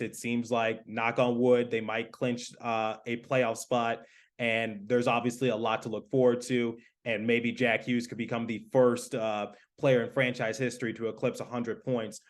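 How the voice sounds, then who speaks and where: A man's voice: medium pitch, flat delivery, normal speed. A man hosting a sports podcast, interviewing in a casual, conversational way.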